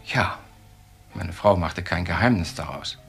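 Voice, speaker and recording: A bitter husband in a crime radio drama, with a very low voice. He phrases things deliberately and ironically, with faint music behind him. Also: vocal fry, fairly bright tone, full character voice. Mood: ironic, bitter. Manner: deliberate, ironic, choosing words carefully. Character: bitter, measured husband describing his wife's friendship. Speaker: actor in a crime radio play.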